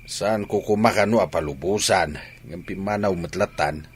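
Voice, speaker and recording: A man playing a gruff, brooding village husband and father in an Ilocano radio comedy-drama. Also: low-pitched, moderate pace, slight background noise, close to an everyday voice. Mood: uneasy.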